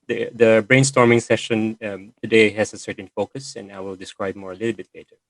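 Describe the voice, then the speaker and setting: Guy hosting a video call, lowish voice, lots of uhs and ums, dry sound. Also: little pitch movement, a little fast, no room sound, poor audio. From Philippines. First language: Filipino. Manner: hesitant, many fillers and restarts. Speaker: session host and moderator. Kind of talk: online meeting presentation.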